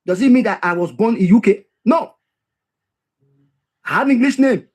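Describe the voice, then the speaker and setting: A man's voice: sits high, moderate pace, a little mellow, slightly rough. A man reading passages from a history text and explaining them, repeating key phrases for emphasis. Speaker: history commentator.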